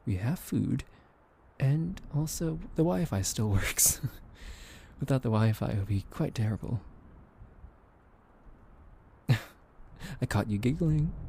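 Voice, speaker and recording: Soft-spoken man with a gravelly, mellow voice. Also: medium pitch, slight background noise. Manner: gentle, teasing, soothing, with soft laughs and hesitant fillers. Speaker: ASMR roleplay voice actor. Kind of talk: soft ASMR roleplay, boyfriend comforting listener.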